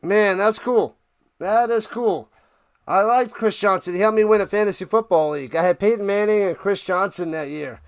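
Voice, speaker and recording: A man's voice: high voice, normal speed, smooth, no room sound. A man hosting a live sports watch party, giving informal, conversational play-by-play and often repeating his phrases. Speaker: live sports streamer, host.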